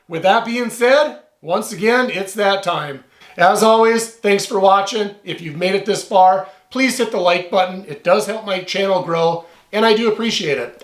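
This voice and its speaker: A hoarse man with a high voice talks loosely and gratefully to the camera, repeating himself as he goes. His voice rings with a roomy echo around it. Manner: relaxed, rambling, grateful. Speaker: outdoor vlogger. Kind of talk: casual vlog talk to camera. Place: off-grid cabin in the northern woods.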